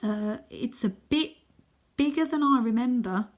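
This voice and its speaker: A woman's voice: a little high, animated, measured pace, pitched above the performer's own voice. A woman voicing a wary, sceptical boy hero in a children's story, speaking hesitantly. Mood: uneasy.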